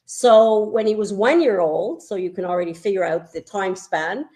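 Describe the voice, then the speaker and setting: A woman's voice: medium pitch, moderate pace, mellow. An older woman with a Canadian accent, a homeopath guest chatting over a video call, telling stories with enthusiasm and repeating words for emphasis. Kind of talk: casual chat.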